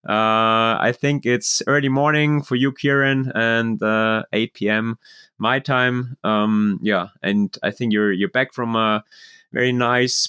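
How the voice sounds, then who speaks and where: Smooth-voiced German-native male host. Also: mid-range voice, fairly bright tone. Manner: friendly, halting, many fillers. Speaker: podcast host. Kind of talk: casual interview hosting.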